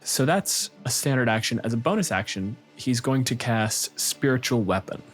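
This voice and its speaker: Man, game master, medium pitch, tabletop narration, clear music bed behind. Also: lively intonation, moderate pace.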